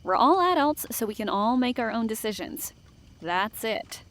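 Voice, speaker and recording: 여자가 연기하는 높은 편의 예의 바른 젊은 여성 상담원. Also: female voice, fairly high pitch, sing-song, normal speed. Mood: reassuring. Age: young adult. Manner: peacemaking, polite, self-assured. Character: level-headed, polite young female camp counselor. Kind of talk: audio drama acting.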